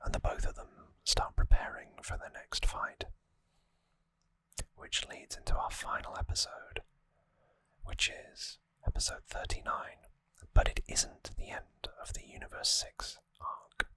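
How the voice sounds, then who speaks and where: Slow, crisp ASMR whisper, very close. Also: no echo. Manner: hushed, unhurried, explanatory. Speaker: ASMR host. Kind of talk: whispered ASMR trivia recap. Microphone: close microphone.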